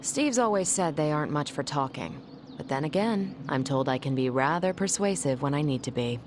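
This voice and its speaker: An actress playing a confident, wisecracking superhero and former pilot in a video game, with a breezy, joking manner. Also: female voice, deep voice, lively intonation, moderate pace, noisy background. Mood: confident, determined. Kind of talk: video game cutscene dialogue. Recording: music under the dialogue. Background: music.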